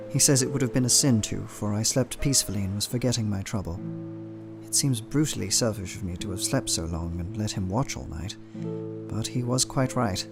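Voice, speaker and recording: Guy acting earnest worried victorian husband reading his journal, lowish voice, music behind him. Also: lightly acted. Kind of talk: dramatic audio journal reading.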